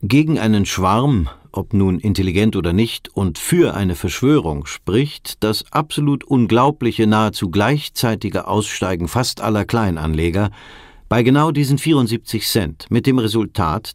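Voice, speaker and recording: An actor playing a sober documentary narrator in a radio play, measured and matter-of-fact. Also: male voice, lowish voice, lively intonation, dry recording, clean studio sound, close to an everyday voice. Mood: sober, probing. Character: sober documentary narrator piecing together a stock scandal.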